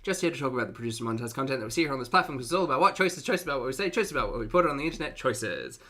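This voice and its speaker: A man's voice: medium pitch, lively intonation, very fast, bright, forceful tone. An Australian man hosting a casual livestream, chatting to viewers in a playful, talkative way with cutesy slang. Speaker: livestream host and reactor.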